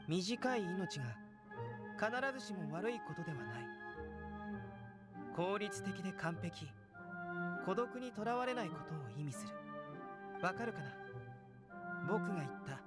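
A man playing a calm young alchemist in an anime game, explaining things in a measured, composed way. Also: fairly high pitch. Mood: gentle, reflective. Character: calm, soft-spoken young male alchemist scholar. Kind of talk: Japanese anime game voice acting.

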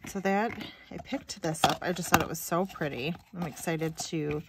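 Chatty low-voiced woman, slightly stuffy. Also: moderate pace, heavy background noise. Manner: chatty, informal, thinking aloud. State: slightly stuffy, sniffly nose. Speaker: craft video host. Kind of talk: casual unboxing chat. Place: indoors at a craft table.

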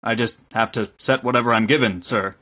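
A man voicing a downtrodden, plain-spoken typesetter in an audiobook, low-key and deferential. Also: moderate pace, a bit bright, natural voice. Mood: meek protest.

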